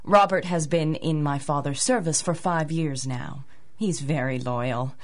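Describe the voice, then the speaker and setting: A woman's voice: sits low, a little slow. A woman playing a genteel, evasive young lady of a manor house in a radio drama, polite and hesitant. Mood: defensive, evasive. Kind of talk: 1930s-style radio drama acting.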